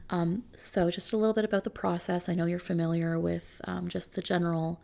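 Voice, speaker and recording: A woman speaking softly and often near a whisper in an ASMR roleplay, gently reassuring like an attentive consultant. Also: on the low side, little pitch movement, fairly quick, vocal fry. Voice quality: soft, hushed, often slipping into whispers. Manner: gentle, reassuring, attentive client-service talk. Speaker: ASMR roleplay performer playing a customization consultant. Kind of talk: soft-spoken ASMR roleplay.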